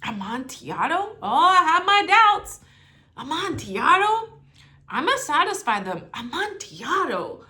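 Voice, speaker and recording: A proud, tipsy nobleman who fancies himself a wine expert , played by a woman reading aloud. He is pompous and easily goaded, exclaiming in a bright, forceful storybook voice that leaps up and down. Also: sits high, very animated, a little slow, pitched above the performer's own voice, exaggerated voice. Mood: incredulous, eager. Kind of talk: dramatic story read-aloud.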